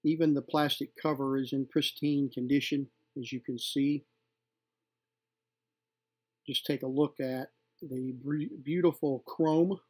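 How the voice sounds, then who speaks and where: A middle-aged man presenting from home, showing vintage gear in an unscripted, halting, informal and appreciative way. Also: mid-range voice, steady tone, a little mellow. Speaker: vintage tape recorder collector and presenter. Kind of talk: unscripted hands-on demonstration.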